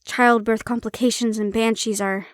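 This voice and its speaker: A woman's voice: a little high, little pitch movement, normal speed, dry recording, studio quality, close to an everyday voice. A woman playing a prickly, guarded banshee-siren hybrid in an intimate audio roleplay, explaining herself conversationally with some teasing. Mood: candid, wry.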